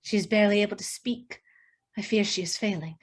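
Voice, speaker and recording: A woman's voice: medium pitch, a little fast, husky, close to an everyday voice. A woman playing a shy, nervous niece, hesitant and earnest, in a screenplay table read. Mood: worried.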